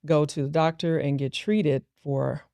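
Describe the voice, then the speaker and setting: A woman's voice: deep voice, steady tone, measured pace, creaky, dark tone, no echo. A middle-aged woman, a business founder, telling a personal story earnestly and reflectively in an interview. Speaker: guest entrepreneur.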